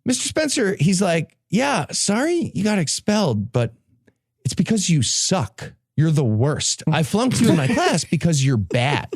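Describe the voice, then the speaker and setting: A man's voice: sits high, wildly swooping pitch, a little slow, slightly rough, no echo, studio quality. A man hosting a comedy podcast, giving a sarcastic, profane recap to his co-hosts. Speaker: podcast host.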